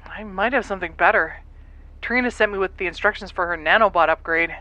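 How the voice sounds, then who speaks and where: A capable woman rescuer in a space adventure voiced by an actress, brusque and sarcastic. Her voice is bright and tense at a medium pitch, with the exasperated bluntness of audio-drama acting. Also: a little fast, no echo, close to an everyday voice, rough recording. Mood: dry, fond.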